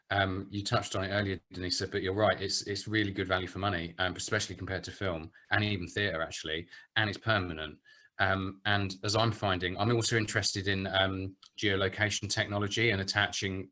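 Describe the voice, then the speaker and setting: Low, bright-edged man with flat intonation. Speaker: panellist, audio drama producer. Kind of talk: concise webinar answer. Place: online video call.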